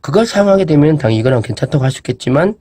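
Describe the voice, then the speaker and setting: A man's voice: fairly high pitch, very fast, husky. A man casually reviewing an e-reader, chatting and thinking aloud as he shows it off. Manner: relaxed, chatty, thinks aloud with fillers and asides. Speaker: product reviewer. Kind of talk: casual product demo vlog.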